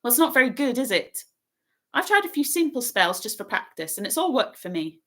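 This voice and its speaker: A woman voicing a bossy, eager young schoolgirl who talks very fast. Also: sits high, big pitch swings, fairly bright tone, voice pushed up, full character voice. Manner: fast, breathless, self-important.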